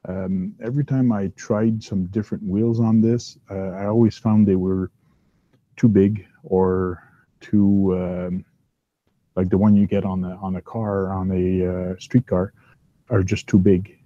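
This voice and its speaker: A man with a big, low voice rambles casually as he hosts from his workbench at home, filling gaps with ums and uhs. The sound is dry and a little dull, with no room echo. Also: flat delivery, a little slow. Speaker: host. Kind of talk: casual chat while working at a hobby bench.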